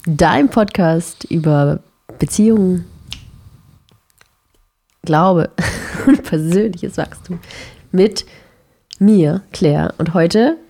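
A young woman hosts a casual podcast, teasing her co-host and laughing easily. Her voice is mellow and slightly breathy. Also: lowish voice, drawn-out words, long pauses. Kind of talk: casual chat.

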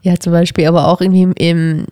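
A woman's voice: on the low side, flat delivery, quick pace, dark tone, dry recording, clean studio sound. A young woman co-hosting a casual podcast with her husband, teasing him and laughing often. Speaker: podcast co-host. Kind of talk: casual couple chat.

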